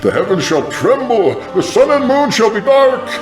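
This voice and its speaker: A man's voice: extremely high pitch, sing-song, normal speed. A man voicing a biblical prophet proclaiming doom, declaiming scripture in a grand, ominous manner. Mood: ominous proclamation. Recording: echoing voice over music. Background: music.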